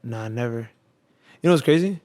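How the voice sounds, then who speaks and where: A man in his twenties, born in Egypt and raised in the Midwest, chatting as a podcast guest. He tells animated, slangy stories, laughs often, and speaks into a studio boom mic. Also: moderate pace, no room sound. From born in Egypt, raised in Ohio, USA. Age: 20s. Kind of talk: casual chat. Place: small home podcast studio, indoors. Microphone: studio condenser on boom arm, headphones.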